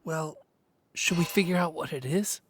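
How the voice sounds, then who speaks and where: A man's voice: fairly high pitch, normal speed, noisy background, close to an everyday voice. A man playing an uneasy young man in an audio drama, anxious and questioning. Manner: questioning, anxious, casual profanity. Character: uneasy young man, Greg, unsettled by strange noises. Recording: music under the voice.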